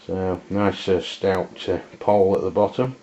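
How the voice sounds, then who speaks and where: Low, monotone Englishman, halting delivery. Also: dull audio. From England. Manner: hesitant, halting, plain-spoken. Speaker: amateur radio reviewer. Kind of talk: unscripted product review demonstration.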